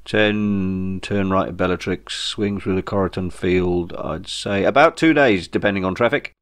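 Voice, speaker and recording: A man's voice: low-pitched, normal speed. A man voicing a chatty, matter-of-fact alien spaceship pilot in a comic sci-fi audiobook. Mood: weary.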